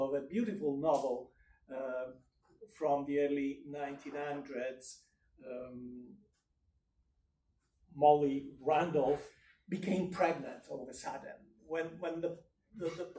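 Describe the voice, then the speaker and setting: Echoey, higher-pitched Italian man lecturing. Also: darkish tone. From Italy. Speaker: university lecturer. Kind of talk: lecture explaining course assignments. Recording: echoey room, distant microphone.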